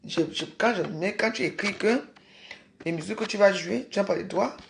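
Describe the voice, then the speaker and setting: Gravelly woman scolding viewers. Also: mid-range voice, fairly quick. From Cameroon. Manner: emphatic, repetitive, scolding the viewers. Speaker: livestream host. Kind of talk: casual livestream rant.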